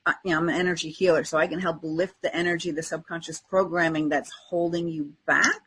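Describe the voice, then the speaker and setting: A woman co-hosting a podcast as an energy coach, talking casually in a husky, breathy voice. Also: low voice, level tone, fast talker, background noise.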